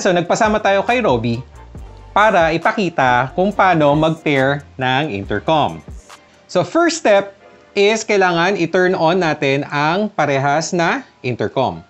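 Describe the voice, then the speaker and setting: Woman, Filipino accent, low pitch, expressive intonation, casual how-to vlog narration. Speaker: vlogger. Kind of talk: tutorial narration mixing casual and instructional talk.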